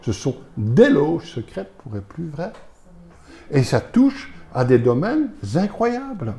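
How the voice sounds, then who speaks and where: A man's voice: fairly high pitch, big pitch swings, slow, dark tone. A man with a Belgian French accent, a pastor preaching to a congregation and pressing them with rhetorical questions. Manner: fires rhetorical questions at listeners, emphatic.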